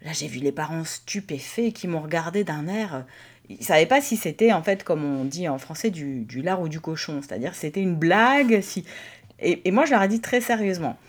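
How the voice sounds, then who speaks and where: A woman's voice: lowish voice, lively intonation, normal speed. A woman, a pastor co-hosting a podcast, telling stories in a hesitant way with many fillers. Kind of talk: casual conversational chat.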